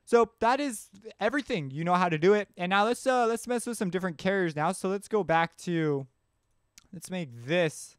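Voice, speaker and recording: A man presenting a software tutorial in an informal, offhand way, thinking aloud as he works. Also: high-pitched, moderate pace, clean tone, no echo, studio quality. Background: synth music and instrument sounds.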